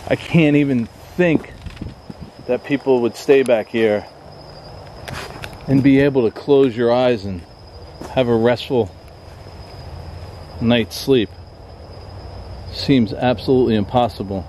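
A man hushed and uneasy, talking quietly to his viewers while alone in dark woods at night, recorded on a handheld camera mic. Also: medium pitch, takes it slowly, pauses often, a little hiss or hum. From Florida. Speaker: paranormal explorer vlogger. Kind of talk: hushed solo night exploration vlog. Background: crickets and insects at night.

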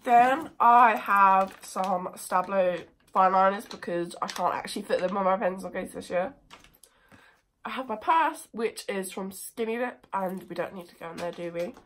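Croaky-voiced teenage English girl with a cold. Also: medium pitch, a little hiss or hum. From England. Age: teens. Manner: chatty, lively, self-mocking. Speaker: vlogger. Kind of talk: casual chat. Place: bedroom. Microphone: phone camera at desk distance.

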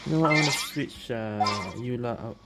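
A woman's voice: extremely low pitch, moderate pace, very noisy. A woman doing casual let's-play commentary as she plays, muttering reactions with mild swearing. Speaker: gamer playing through a game quest.

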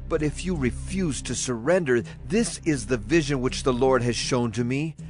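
An actor playing a grave, steadfast ancient prophet, speaking solemnly in a scripture drama. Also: male voice, medium pitch, moderate pace, darkish tone, faint music behind, close to an everyday voice. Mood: urging.

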